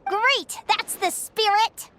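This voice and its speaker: A woman playing a tiny, excitable fairy companion in an anime game, with a bubbly, exclamatory manner. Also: very high-pitched, slow pace, heavily acted. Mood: excited. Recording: loud music behind voice.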